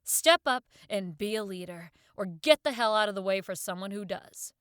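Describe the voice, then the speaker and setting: A woman's voice: mid-range voice, lively intonation, normal speed, fairly bright tone, smooth, dry recording, studio quality, close to an everyday voice. A woman playing a tough, no-nonsense gang member in an audio drama, blunt, exasperated and scolding.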